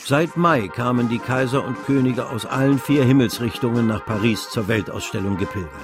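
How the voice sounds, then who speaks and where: A man's voice: medium pitch, brisk, music in the background, natural voice. A man narrating a literary radio drama as an eloquent storyteller. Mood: sweeping, vivid narration. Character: eloquent storyteller chronicling a financial frenzy.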